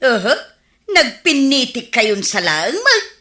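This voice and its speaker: A woman playing an animated, reproachful villager in an Ilocano comedy radio drama. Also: fairly high pitch, takes it slowly, exaggerated voice. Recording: echoey room sound.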